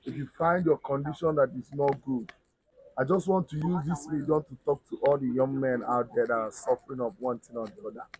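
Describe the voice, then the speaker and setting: An actor playing a blind, resigned husband, speaking quietly and slowly. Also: male voice, mid-range voice, fairly even intonation, a little hiss or hum, close to an everyday voice, rough recording. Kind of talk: dramatic skit acting.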